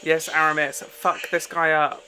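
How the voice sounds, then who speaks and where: A reaction video host giving casual, excited commentary, gushing and full of slang and swearing. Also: mid-range voice, measured pace, music in the background. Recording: TV show audio playing behind.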